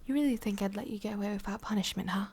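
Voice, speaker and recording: A woman with an English accent playing a sadistic, condescending female demon boss in an ASMR roleplay, speaking deliberately and slipping between soft voice and whisper. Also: mid-range voice, slightly rough, close to an everyday voice. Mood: coldly threatening.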